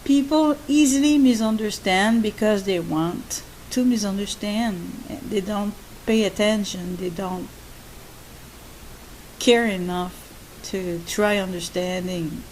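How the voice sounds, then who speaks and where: A woman with a soft, gentle voice and a non-native English accent speaks to her subscribers at a very slow pace. She pauses often, restarting her sentences and filling the gaps with "uh" and "um." A faint hiss sits under the recording. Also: medium pitch, poor audio. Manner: slow, halting, with many fillers and restarts. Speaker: channel host. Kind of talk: spoken monologue to subscribers.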